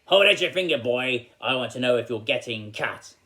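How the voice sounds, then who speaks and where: A man's voice: medium pitch, expressive, moderate pace, sharp tone, a little hiss or hum. A man voicing a wicked old woman witch in a children's storybook, greedy and threatening, with a snappish, commanding manner.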